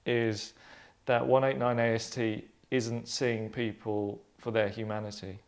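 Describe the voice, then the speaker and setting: A man's voice: medium pitch, level tone, a little slow. A middle-aged man, a scriptwriter, narrating and casually explaining his work in an earnest, rambling way. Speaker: scriptwriter reading stage directions. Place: furnished room indoors.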